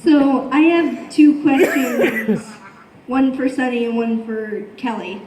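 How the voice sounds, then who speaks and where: A woman asking questions from the audience at a panel, speaking in a hesitant, halting way. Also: fairly high pitch, crowd noise. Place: convention panel room. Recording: echoey convention room, distant mic.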